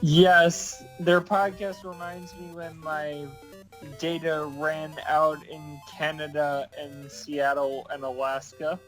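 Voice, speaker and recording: A man's voice: fairly high pitch, completely flat delivery, takes it slowly, a bit bright, soft background music, rough recording. A man in his twenties chatting casually as a vlog co-host, speaking in short, offbeat remarks. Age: 20s. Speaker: podcast co-host.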